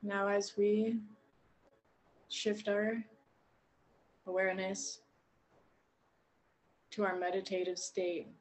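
A woman guiding a meditation in a gentle, unhurried way, leaving long pauses between short phrases. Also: medium pitch, flat delivery, rough recording. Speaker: meditation guide. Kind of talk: guided meditation narration.

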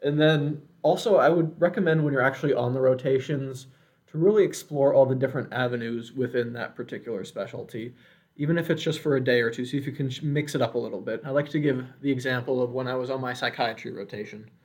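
A young man in his twenties speaks in an earnest, reflective way, handing out advice drawn from his own training. His voice sits at an ordinary middle pitch for a man. The delivery is conversational and unhurried. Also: a little mellow. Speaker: medical student. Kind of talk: casual podcast discussion.

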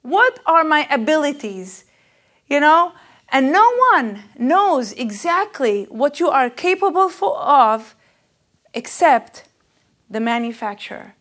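Earnest woman preacher, rather high voice. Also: big pitch swings, takes it slowly. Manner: intense and earnest, rising and louder on key questions; hesitant fillers, searching for words. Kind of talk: spoken sermon.

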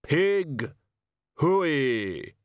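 A man's voice: medium pitch, expressive, slow pace, a bit bright, no echo, clean studio sound, voice pushed up. A man playing a ponderous, solemn hooded figure with a heavy voice and a literal, gloomy manner. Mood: puzzled.

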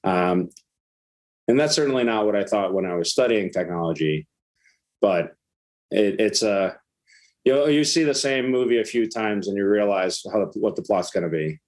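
A man's voice: fairly low pitch, lively intonation, moderate pace, darkish tone. A middle-aged man from Iceland talking casually as a startup founder and interview guest.

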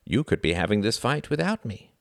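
A man playing a grumpy, self-absorbed husband in an audiobook drama, measured and defensive as he tries to placate. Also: fairly low pitch, big pitch swings, vocal fry, no room sound, clean studio sound, pitched above the performer's own voice.

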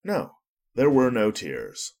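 A man's voice: mid-range voice, wildly swooping pitch, slow pace, creaky, darkish tone. A man narrating a storybook as a scripted audiobook, telling it expressively.

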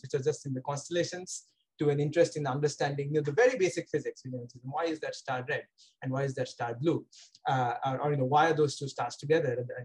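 A young man with an Indian accent talks casually as an interview guest, in a husky, slightly breathy voice that sits a bit high for a man. He rambles, circling back with fillers and restarts as he thinks aloud. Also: low-quality recording. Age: young adult. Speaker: guest astronomer.

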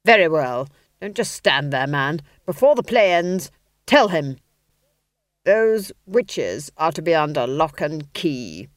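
A woman voicing a scornful, domineering duchess in a story reading, in a sharp and loud voice. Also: low voice, very animated, slow pace, no echo, clean studio sound, full character voice. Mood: imperious. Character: shrill domineering noblewoman, scornful.

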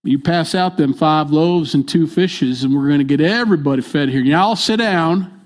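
A man's voice: on the high side, lightly acted. A man doing a drawling, folksy Jesus. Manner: slow, homespun drawl.

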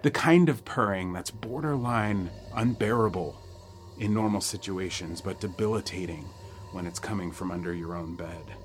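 A man narrating a first-person horror audio drama in a wry, suspenseful way with dramatic pauses. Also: sits low. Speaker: storyteller narrating a nightmare.

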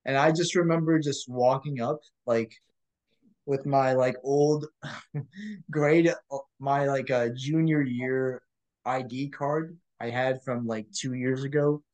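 A young college man from Michigan co-hosting a casual student talk show. His voice sits at a middle pitch, and he rambles, dotting his sentences with "like" and "um.". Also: steady tone, slow pace, a little mellow, poor audio. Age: young adult. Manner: rambling, full of "like" and "um", laughs easily. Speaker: co-host. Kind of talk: casual chat.

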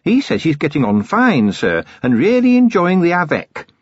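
A man's voice: fairly high pitch, wildly swooping pitch, moderate pace, slightly rough, no echo, highs cut off, pitched above the performer's own voice, exaggerated voice. A man voicing a cheerful, deferential female dwarf police sergeant, polite and eager, in an audiobook.

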